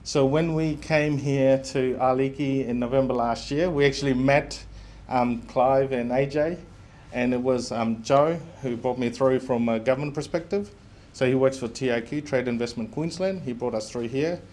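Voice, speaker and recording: A middle-aged man from Fiji and New Zealand speaks as a founder presenting his product. His pitch is average for a man, and his delivery is candid and conversational. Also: moderate pace, a little mellow. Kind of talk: informal public presentation.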